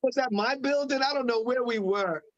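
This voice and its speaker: A man's voice: very high-pitched, normal speed, muffled recording, a loud crowd. A man in his forties hosting a casual group conversation, laughing often and repeating words as he talks. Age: 40s. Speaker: host.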